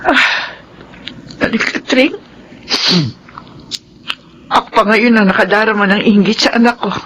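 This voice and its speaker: A woman's voice: mid-range voice, measured pace, fairly bright tone, a little hiss or hum, close to an everyday voice, poor audio. A woman playing a remorseful, emotional mother in a radio drama, speaking hesitantly. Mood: sorrowful. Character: remorseful mother, weak and envious of her resilient daughter. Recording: radio drama with music behind.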